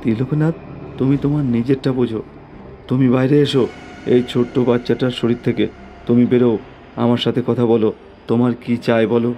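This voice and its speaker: A man's voice: mid-range voice, little pitch movement, normal speed, long pauses, dull audio, slight background noise, lightly acted. A man voicing a stern village exorcist who commands spirits in a horror story. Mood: commanding.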